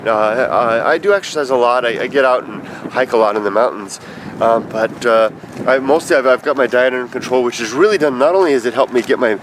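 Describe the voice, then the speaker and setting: Man in his fifties, congested nose, halting rambling chat, street noise behind. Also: mid-range voice. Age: 50s. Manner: rambling, halting, with many fillers and restarts. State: stuffed up with allergies. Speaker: vlogger. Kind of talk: casual video message. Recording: noisy outdoor street recording.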